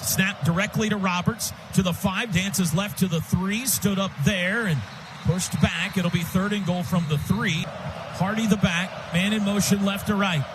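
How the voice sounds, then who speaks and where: An American man working as a radio play-by-play announcer, calling live football in clipped phrases and getting excitable on big plays. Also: high-pitched. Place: stadium broadcast booth. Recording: clearly noisy live stadium radio broadcast.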